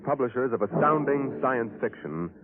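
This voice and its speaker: A man's voice: mid-range voice, lively intonation, normal speed. Theatrical American radio announcer man, narrow sound. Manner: grand, theatrical. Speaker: announcer and narrator. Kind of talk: dramatic 1950s radio announcing. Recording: old narrow radio broadcast, loud music.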